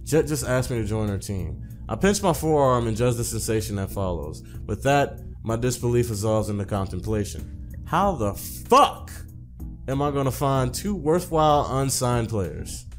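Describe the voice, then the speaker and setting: A man voicing a laid-back young male gamer, the wry protagonist of a visual novel, in a low-key way. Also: expressive, moderate pace, soft background music, natural voice. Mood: wry, reflective.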